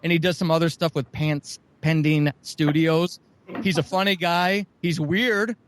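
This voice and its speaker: Male interview guest, slightly high register, dry sound. Earnest, emphatic, effusive promoter. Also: fairly even intonation, unhurried, no room sound. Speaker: guest, audio drama producer. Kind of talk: enthusiastic interview talk, promoting shows.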